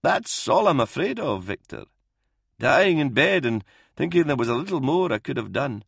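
A man's voice: mid-range voice, very animated, normal speed, creaky, breathy edge, dry recording, studio quality, pitched above the performer's own voice, heavily acted. A man voicing a frail but stubborn and dignified old regimental doctor in an audiobook, speaking earnestly and insistently. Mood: solemn, resolute. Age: older. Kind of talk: audiobook character voice in military science fiction.

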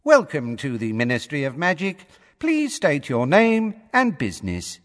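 A man's voice: a little high, wildly swooping pitch, measured pace, fairly bright tone, long reverb tail, pitched above the performer's own voice, full character voice. A man voicing a cool, polite female automated announcer in an audiobook, formal and detached.

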